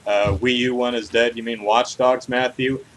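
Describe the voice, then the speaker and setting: A man's voice: medium pitch, flat delivery, moderate pace, rough recording. A man with a mid-range voice co-hosts a video game podcast. He talks casually and playfully, with some animation. There is faint noise behind him from a home livestream setup. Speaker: podcast co-host. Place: hot room at home, on a livestream.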